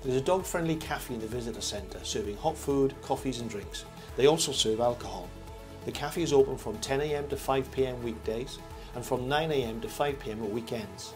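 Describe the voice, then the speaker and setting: A man's voice: mid-range voice, fairly quick. Older man narrating over background music. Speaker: campsite review host.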